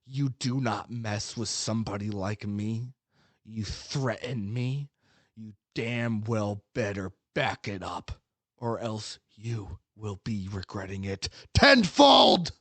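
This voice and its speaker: A man's voice: fairly low pitch, steady tone, slow pace, no echo, voice pushed down. A man voicing a vengeful, menacing young antihero with demonic rage, taunting and commanding in a hobby audio drama. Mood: threatening.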